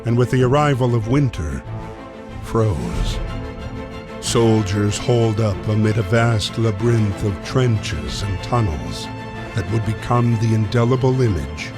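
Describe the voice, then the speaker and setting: An actor playing the solemn narrator of a wartime story in a video game, in a scripted style. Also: male voice, mid-range voice, close to an everyday voice. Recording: music behind the voice.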